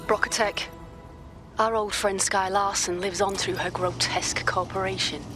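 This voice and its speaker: An actress playing a serious, determined hacker who briefs a mission in a video game, measured and purposeful. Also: female voice, medium pitch, fairly even intonation, bright, forceful tone, faint music behind, close to an everyday voice. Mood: focused, grave.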